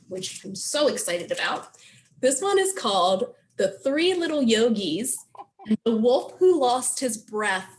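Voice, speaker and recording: A woman's voice: fairly high pitch, a little hiss or hum. A woman hosting a children's story time, explaining songs and books in simple, encouraging language for preschoolers. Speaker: children's library program host.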